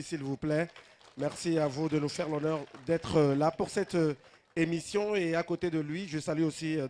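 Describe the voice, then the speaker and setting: A man's voice: fairly high pitch, darkish tone. A man emceeing a live stage show, formally greeting dignitaries and rallying the audience. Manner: formal announcer, hyping the crowd between acts.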